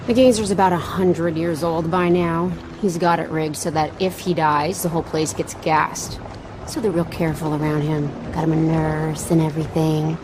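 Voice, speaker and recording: An actress playing a brash, sardonic gang boss in a video game, taunting and menacing. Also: female voice, on the low side, close to an everyday voice. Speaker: voice actor. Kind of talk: video game cutscene acting. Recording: clearly noisy, engine-like background.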